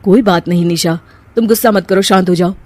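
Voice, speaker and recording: A woman voicing a gentle, long-suffering young husband in a cartoon family drama, mild and earnest. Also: lowish voice, fairly even intonation, brisk, close to an everyday voice. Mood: calming.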